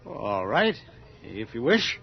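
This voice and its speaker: An actor playing a kindly, lonely old farmer in a radio drama, speaking in a gentle, plain-spoken, folksy way. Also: male voice, mid-range voice, big pitch swings, slow, creaky, full character voice. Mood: wistful. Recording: dull lo-fi vintage radio recording.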